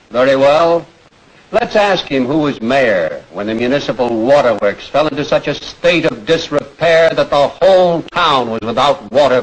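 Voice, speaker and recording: An actor playing a needling rival politician, making a rhetorical, insinuating 1940s radio campaign speech. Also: male voice, medium pitch, poor audio.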